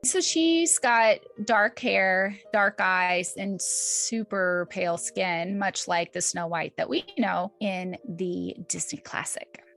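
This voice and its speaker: A woman's voice: mid-range voice, slow, gravelly, hardly any pauses, a bit bright, poor audio. A woman co-hosting a podcast, telling stories in a casual, informal and chatty way with emphatic repetition. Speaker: podcast co-host. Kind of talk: casual storytelling chat.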